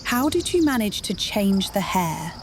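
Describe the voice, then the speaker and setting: A woman playing a calm, inquisitive adventurer heroine in a video game, even-tempered and questioning. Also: medium pitch, a little slow, slight background noise. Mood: curious. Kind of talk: dramatic game dialogue.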